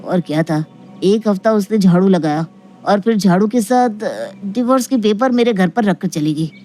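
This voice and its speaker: Casting: performer as fussy male doctor in a comic story, mellow dark tone, plaintive and confiding. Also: medium pitch, moderate pace, faint music behind, close to an everyday voice. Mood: exasperated, confiding. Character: fussy male doctor obsessed with mopping floors, exasperated.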